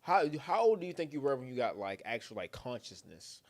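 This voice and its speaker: A young co-host bantering on a casual podcast, talking quickly with a lively, bouncing pitch. The talk is full of teasing jokes and swearing. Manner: fast, lively, joking banter with profanity. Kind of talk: casual chat.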